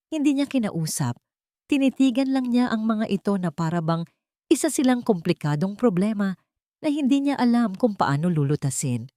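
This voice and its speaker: Woman storyteller, somber, measured, lowish voice, dry close sound. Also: a little mellow, dry recording. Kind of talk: scripted narration of a drama story.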